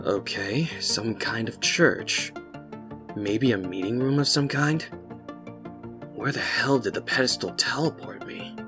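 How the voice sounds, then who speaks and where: A man playing a bewildered, foul-mouthed ordinary man who mutters to himself or yells in exasperation, in an audiobook. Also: medium pitch, unhurried, music in the background, lightly acted. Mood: dazed muttering. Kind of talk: audiobook character acting.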